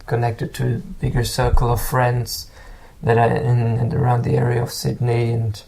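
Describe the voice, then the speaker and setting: Flat, gravelly man. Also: medium pitch, a little hiss or hum, rough recording. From Germany. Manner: halting, repeats words while searching for them. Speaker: guest musician. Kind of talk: casual interview talk.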